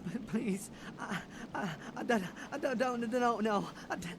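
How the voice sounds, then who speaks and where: A man voicing a meek, frightened young male vampire in a video game, stuttering tearfully and pleading in halting apologies. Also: very high-pitched, animated, background noise. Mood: panicked. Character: terrified, meek, stammering young male vampire begging for mercy.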